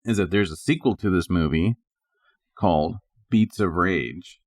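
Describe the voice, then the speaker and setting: A man's voice: sits low, a little slow, no echo, studio quality. A man co-hosting a podcast, chatting casually in an incredulous manner. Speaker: podcast co-host. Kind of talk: casual chat.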